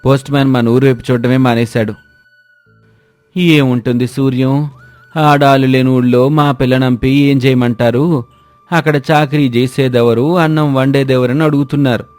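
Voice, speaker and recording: A man's voice: medium pitch, fairly even intonation, normal speed, a little mellow, no room sound, soft background music, close to an everyday voice. A man playing a resigned, consoling older village uncle with rustic colloquial speech in a moral story. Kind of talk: dramatised moral-story dialogue.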